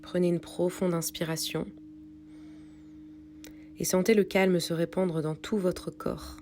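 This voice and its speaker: A woman reading a guided yoga nidra meditation in a calm, soothing, unhurried way with pauses. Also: lowish voice, a little hiss or hum. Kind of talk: guided meditation read from a script.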